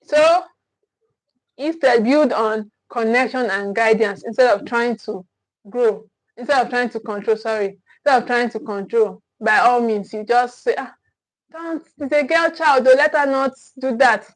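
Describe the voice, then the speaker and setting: A woman's voice: on the high side, unhurried, dry recording, low-quality recording. A young Nigerian woman from Edo State, hosting a live parenting class in an earnest, emphatic way, often repeating herself and asking "okay?". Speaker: parenting teacher and host.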